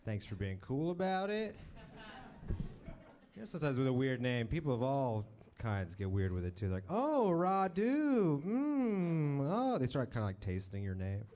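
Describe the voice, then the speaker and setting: Male comic, 30s, wry and self-mocking, lively pitch, jumps to high voices for impressions. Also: normal speed. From born in Romania, raised in Texas. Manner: dry, self-deprecating, mimics people in high voices. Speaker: stand-up comedian. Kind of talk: stand-up set. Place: comedy club stage with live audience.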